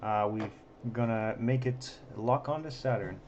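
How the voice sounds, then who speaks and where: A slightly hoarse man hosting a casual live stream, with a self-deprecating, joking manner. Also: mid-range voice, measured pace, a little mellow. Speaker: livestream host and telescope operator. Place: observatory during a live stream. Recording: dull sound with faint background noise.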